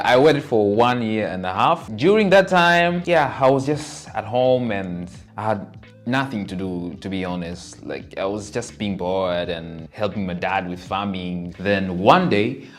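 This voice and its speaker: A man in his twenties from Tanzania, telling his life story to his channel in an earnest, animated way. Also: low voice. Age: 20s. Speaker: content creator. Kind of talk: personal storytelling to camera.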